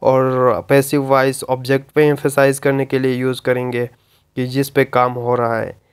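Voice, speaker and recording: A man teaching in an explanatory lecture style, mixing English terms and phrases into his explanations, with a clear, smooth voice. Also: mid-range voice, monotone, moderate pace.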